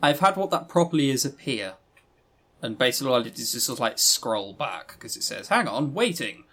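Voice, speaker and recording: A man's voice: mid-range voice, big pitch swings, a little fast, a bit bright, slightly rough. A man co-hosting a podcast, giving an emphatic, rambling, opinionated review in casual talk. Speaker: podcast co-host. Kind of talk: casual review commentary on visual novels.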